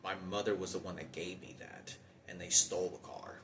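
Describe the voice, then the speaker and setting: A man giving an unscripted personal monologue about his own hardships, halting and often circling back to repeat himself. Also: sits low, steady tone, a bit bright, a little hiss or hum. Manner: halting, repetitive, circling back over points. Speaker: storyteller recounting personal hardship.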